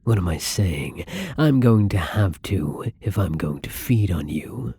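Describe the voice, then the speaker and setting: A man playing a shy, gentle, newly turned vampire in an intimate ASMR roleplay, speaking softly and haltingly in a breathy voice that often slips into whispers. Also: low voice, expressive, heavily acted. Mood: flustered, apologetic. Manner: hesitant, halting, polite, soft-spoken.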